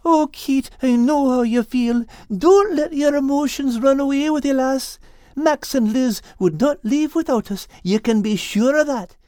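Guy doing a comforting little animal pal in an audiobook, high mellow voice, calm and patient. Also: a little slow, no echo, studio quality. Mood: soothing. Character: calm, reassuring animal friend comforting a worried companion.

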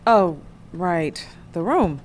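A woman's voice: on the low side, animated, very slow, a little mellow, a little hiss or hum. An actress playing a guarded, weary daughter back in her hometown in an audio drama, terse and noncommittal. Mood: awkward, resigned. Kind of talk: naturalistic audio drama acting.

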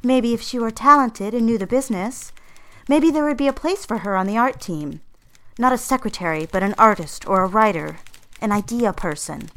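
A woman's voice: fairly high pitch, normal speed, rough recording. An actress voicing an earnest, ambitious young female secretary with wounded feelings in an audio drama. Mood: resolute, dreamy. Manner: expressive, earnest. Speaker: actress narrating and playing lead. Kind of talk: dramatized first-person narration and dialogue.

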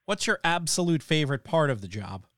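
A man's voice: fairly high pitch, big pitch swings, brisk, a bit bright, no echo, studio quality. A man hosting an interview, asking questions in a conversational way. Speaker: interviewer, host.

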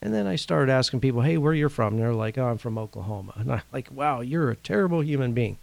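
Guy from indiana just getting over a cold, bit rough, earnest chatty preaching, dry close sound. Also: medium pitch, expressive, quick pace, no echo. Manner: earnest, conversational, laughs now and then. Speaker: podcast host. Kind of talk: casual faith-based commentary.

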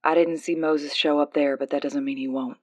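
A woman playing a hesitant, self-doubting, unassuming investigator in a tabletop audio drama, speaking in a halting, tentative way. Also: low voice, steady tone, dry recording. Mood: hesitant, earnest.

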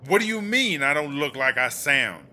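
A man's voice: on the high side, big pitch swings, moderate pace, pushed and bright, slight background noise, exaggerated voice. Man playing a swaggering, slangy woman inmate. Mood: swaggering storytelling. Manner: slangy, swaggering street storytelling. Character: brash, proud woman prison inmate, swaggering and funny. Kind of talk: comedic audio drama monologue.

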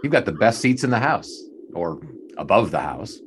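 An actor playing a wry, wordy 1920s sports writer in an audio drama, dryly sardonic in both narration and dialogue. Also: male voice, fairly low pitch, sing-song, moderate pace, slight background noise. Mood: dry, skeptical. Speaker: narrator and lead character.

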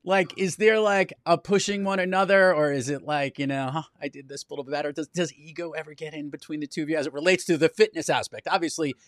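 A man hosting a podcast and asking guests questions in an upbeat, encouraging way, with big swings in pitch. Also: fairly even intonation, fast talker, hardly any pauses, dry recording, clean studio sound. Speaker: podcast host. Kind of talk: interview questions.

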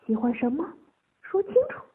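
Woman playing a loud, domineering, coarse man. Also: sits high, moderate pace, phone-quality sound, voice pushed up, lightly acted. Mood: gloating, excited. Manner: barking, bullying, foul-mouthed. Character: coarse, domineering male official, lewd and commanding.

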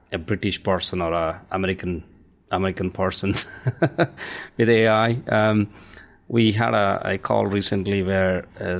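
A man from India speaks with an Indian accent and a low, deep voice. He is a guest in a relaxed podcast interview, talking freely and filling pauses with ums and uhs. He laughs lightly between his points. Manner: chatty, laughs between points, many fillers. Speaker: guest, cybersecurity company founder.

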